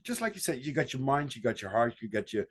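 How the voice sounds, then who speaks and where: A man's voice: mid-range voice, sing-song, quick pace, husky. A man hosting a podcast, talking casually and hesitantly, with many restarts and fillers. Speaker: podcast host.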